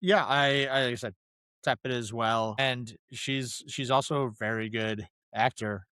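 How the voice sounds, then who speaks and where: A man's voice: medium pitch, steady tone, unhurried, pushed and bright, smooth, no echo. A man co-hosting a casual film discussion podcast, talking emphatically and repeating phrases for stress. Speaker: podcast co-host.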